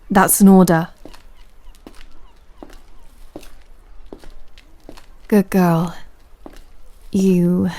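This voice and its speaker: A woman's voice: mid-range voice, darkish tone, close to an everyday voice. A woman playing a tender but commanding female pirate captain in an intimate audio roleplay, with a stage pirate accent and teasing sailor slang. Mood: gently commanding. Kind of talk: scripted roleplay audio, intimate second-person address.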